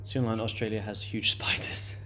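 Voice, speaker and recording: Late-20s male streamer, low-pitched, relaxed and chatty, repeats himself and fills pauses. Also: faint music behind. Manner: loose and chatty, many fillers and repeated words. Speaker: day-trading livestream host. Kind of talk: casual live-stream chat answering viewers.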